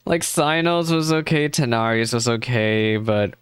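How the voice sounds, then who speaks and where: A streamer chatting candidly and reacting with quick exclamations to a game's cutscenes on a close mic. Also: mid-range voice, smooth, no echo. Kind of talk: casual reaction commentary.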